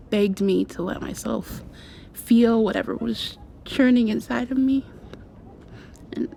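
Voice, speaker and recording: A woman playing a shy, tender young girlfriend in an intimate ASMR roleplay, speaking softly and haltingly and often slipping into a whisper. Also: medium pitch, slight background noise. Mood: wistful, sorrowful. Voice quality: soft, breathy, often dropping to a whisper. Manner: hesitant, halting, confiding. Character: shy, tender young mosquito girl confessing past heartbreak to girlfriend.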